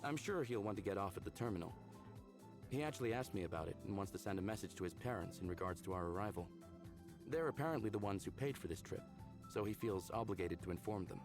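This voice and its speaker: An actor voicing an upbeat, smitten young man in a visual novel game, speaking in an earnest, casual, expressive way. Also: lowish voice, fast talker. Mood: explaining, relaxed. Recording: background music under the voice.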